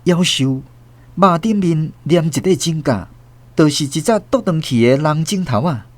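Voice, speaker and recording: Man narrating with very animated, swooping pitch. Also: moderate pace, slight background noise. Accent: Taiwanese. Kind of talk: dramatic scripted narration of a radio drama.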